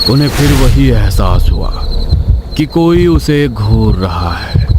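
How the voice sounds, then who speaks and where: A man narrating a scripted horror story suspensefully, with dramatic pauses. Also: sits low, very noisy. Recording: constant night cricket and insect sounds behind.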